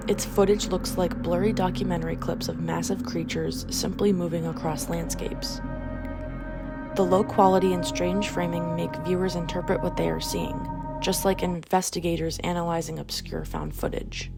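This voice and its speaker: Female narrator for a video essay: lowish, noticeably gravelly fry, scripted and measured, music underneath. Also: flat delivery.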